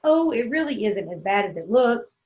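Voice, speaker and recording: A woman's voice: fairly high pitch, sing-song, moderate pace. A woman playing a sweet, anxious, hopeful young wife in a play reading, on a dull online recording. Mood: tearful, hopeful. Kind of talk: play table read. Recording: dull lo-fi online call sound.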